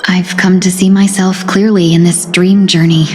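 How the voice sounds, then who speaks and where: An actress playing a gentle, composed young woman merchant who speaks softly and graciously in a game cutscene. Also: on the low side, little pitch movement, moderate pace, slight background noise, natural voice. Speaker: game character. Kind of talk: dubbed game cutscene acting. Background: loud game music.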